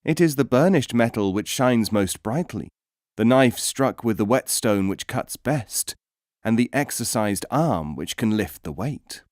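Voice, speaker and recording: A man voicing a sharp-tongued blind dervish in a storybook reading, declamatory and scolding, full of righteous indignation. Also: medium pitch, animated, unhurried, dry recording, studio quality, close to an everyday voice. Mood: stern rebuke.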